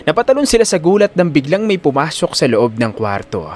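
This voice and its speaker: A man reads a scripted dramatic story as its narrator. His pitch moves in a lively way as he tells it. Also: brisk, faint music behind.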